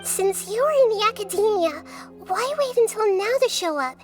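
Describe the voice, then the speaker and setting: A woman's voice: very high-pitched, expressive, moderate pace. A woman playing a small, childlike goddess of wisdom in a video game, earnest, precise and thoughtful, with music behind. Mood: wary, firm. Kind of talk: dramatic video game voice acting.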